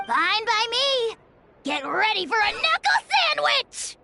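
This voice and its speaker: A woman voicing a tiny, childlike fairy companion in a video game, cheeky, brash and excitable, with a squeaky voice. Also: slow pace, over loud music, exaggerated voice. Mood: feisty.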